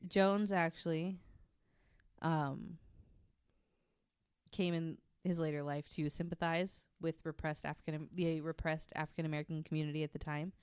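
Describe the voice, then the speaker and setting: Female podcast storyteller, deep and clean-toned, informal and wry, reading from notes with loose banter. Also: fairly even intonation, moderate pace, darkish tone, smooth, dry recording, clean studio sound. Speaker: podcast host.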